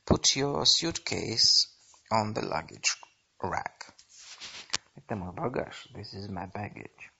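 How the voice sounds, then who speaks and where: A man teaching phrases, reading each line and then slowly saying its English version, with a husky, gravelly voice. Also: on the low side, animated, sharp tone, a little hiss or hum. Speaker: language teacher. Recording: dull lo-fi recording.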